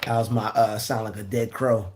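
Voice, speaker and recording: A man with a raspy voice giving a casual, preachy motivational talk, repeating his points. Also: lowish voice, steady tone, moderate pace, very noisy. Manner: repeats phrases, preachy and earnest. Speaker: motivational vlogger.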